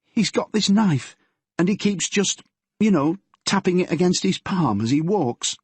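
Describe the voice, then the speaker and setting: Audiobook figure: male narrator voicing a scared teenage girl, pitched high, breathless, husky. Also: animated, unhurried, no echo, exaggerated voice. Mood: anxious. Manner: shaky, pleading, breathless. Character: frightened tearful teenage girl, a policeman's daughter.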